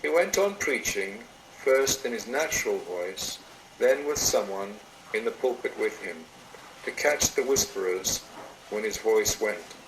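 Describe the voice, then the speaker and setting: A man reads a sermon-like meditation aloud in a measured, solemn way with deliberate phrasing, recorded into a computer in a room. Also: on the low side, expressive. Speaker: reader of a meditation written by another. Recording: roomy, noisy amateur computer recording.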